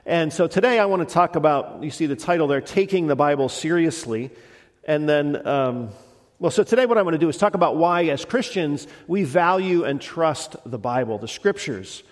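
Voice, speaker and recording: Pastor with a head cold, church reverb. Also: male voice, fairly high pitch, animated, moderate pace. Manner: warm conversational preaching with frequent restarts. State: head cold, slightly congested. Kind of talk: sermon. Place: church sanctuary. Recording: long reverb of a church hall.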